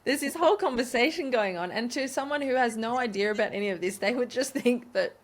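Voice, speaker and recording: An enthusiastic woman hosting a podcast, chatting casually with her guest. She affirms warmly and thinks out loud, circling through her ideas. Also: a little high, quick pace. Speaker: podcast host. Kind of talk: casual interview chat.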